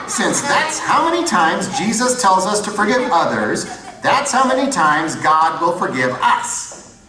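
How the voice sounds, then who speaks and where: A man's voice: high-pitched, pushed and bright, exaggerated voice. A man playing an overconfident, dim but eager puppet host in a scripted comedy, with a bumbling manner. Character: Bob, goofy, overconfident, dim male puppet answer host. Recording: echoey, distant playback of prerecorded CD.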